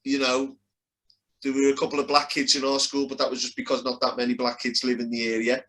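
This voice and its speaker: A man's voice: mid-range voice, monotone, quick pace, fairly bright tone. A man with a Liverpool accent arguing his side in a heated casual debate, blunt and emphatic. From Liverpool, England.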